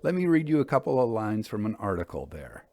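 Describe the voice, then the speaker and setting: A man narrating in a literary storytelling style, with measured, deliberate phrasing and emphatic stresses. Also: lowish voice, big pitch swings, warm tone, no room sound. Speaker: narrator reading ghost stories aloud.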